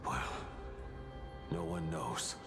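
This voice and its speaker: A man's voice: a bass voice, very slow, vocal fry, full character voice. An actor playing a jittery, polite male spirit in a video game, speaking in a formal, theatrical way. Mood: storytelling. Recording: game music behind voice.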